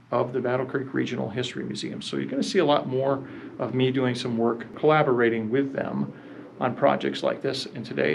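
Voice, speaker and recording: A man's voice: medium pitch, gravelly, a little hiss or hum. A middle-aged man closing out his video, talking directly to camera in a friendly way. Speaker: video host. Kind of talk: channel outro. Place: small indoor room.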